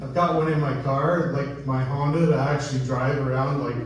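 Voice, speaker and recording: A Canadian man performing a live stand-up set in a halting, conversational way, often tagging lines with a questioning check. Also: medium pitch, fairly even intonation. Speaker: stand-up comedian. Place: indoor live comedy show, recorded from off stage. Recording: echoey live room, distant mic, heavy noise.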